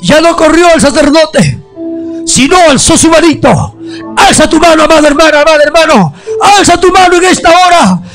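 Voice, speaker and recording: A male preacher giving a fervent altar call, often shouting and stretching out his phrases. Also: very high voice, lively intonation, moderate pace, faint music behind.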